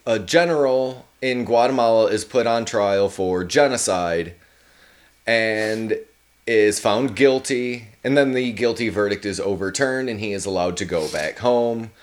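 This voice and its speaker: A man's voice: fairly low pitch, measured pace. A man hosting a casual podcast who rambles enthusiastically, swears freely and hesitates with fillers. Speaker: podcast host.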